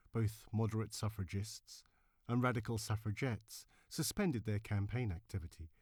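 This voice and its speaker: A man reading scripted historical narration in a measured, solemn, deliberately phrased way. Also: on the low side, darkish tone, no echo, studio quality. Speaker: narrator, reader.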